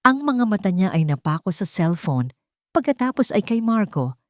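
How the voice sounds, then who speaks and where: A woman narrating a scripted romance story in a slow, dramatic storytelling manner. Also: mid-range voice, sing-song, no echo, clean studio sound.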